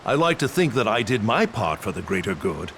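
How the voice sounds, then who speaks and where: An actor playing a proud, gracious old male knight captain in a game cutscene, speaking in a formal, measured way. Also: lowish voice, very animated, fairly bright tone, a little hiss or hum. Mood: modest. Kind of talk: video game cutscene voice acting.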